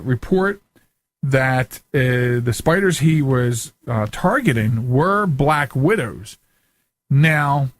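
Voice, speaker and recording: Man, radio host, slightly high voice, folksy casual commentary, conversational, very dry close sound. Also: no room sound.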